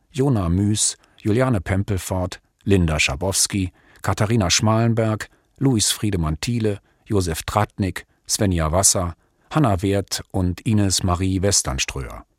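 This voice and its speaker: A man reading scripted credits as a radio announcer in a measured, neutral way. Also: low-pitched, dry recording, studio quality.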